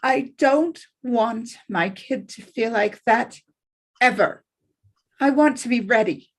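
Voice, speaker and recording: An earnest young woman acted by a woman at a table read, torn and heartfelt. Her voice sits a little high and has a husky, breathy grain. She speaks plainly, as a conflicted character in a TV drama. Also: takes it slowly. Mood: pained, earnest.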